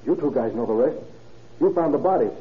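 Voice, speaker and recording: An actor playing an anxious, talkative young murder suspect in an old radio crime drama, speaking in slangy, earnest pleas. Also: male voice, mid-range voice, expressive, brisk, lightly acted. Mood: defiant. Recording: muffled, noisy vintage radio recording.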